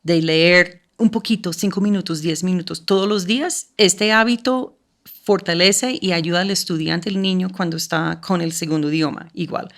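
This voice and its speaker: Woman, low voice, gravelly, interview guest, explanatory, gives practical advice. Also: fairly even intonation, normal speed. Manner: checks in with listener using "¿sí?". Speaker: school language program coordinator.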